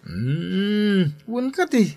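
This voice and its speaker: An actor playing a boozy, excuse-making husband in an Ilocano radio drama, drawling between mumbles and exclamations. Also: male voice, high voice, big pitch swings, very slow, vocal fry, dark tone, exaggerated voice. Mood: grudging, surprised.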